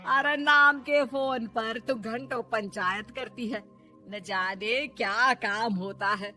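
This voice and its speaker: A harsh older mother-in-law from a comic family tale, voiced by a woman. She scolds and taunts in a sharp, forceful voice with music underneath. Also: fairly high pitch, takes it slowly, pitched below the performer's own voice. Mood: scolding.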